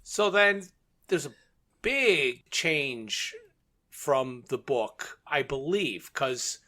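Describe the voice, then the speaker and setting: A man's voice: mid-range voice, expressive, extremely slow, pushed and bright. A man co-hosting a podcast, recapping a story step by step in a plain, casual way. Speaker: podcast co-host.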